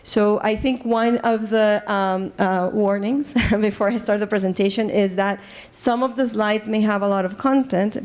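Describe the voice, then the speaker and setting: A Colombian-accented woman, likely in her thirties, lectures a room of colleagues in an earnest, engaged way. Her talk is full of ums, restarts and small self-corrections as she builds her points. She sits at an everyday middle pitch for a woman. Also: a little mellow. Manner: earnest, lots of fillers and restarts, engages audience. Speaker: assistant professor, presenter. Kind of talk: academic lecture to a live group. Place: conference room.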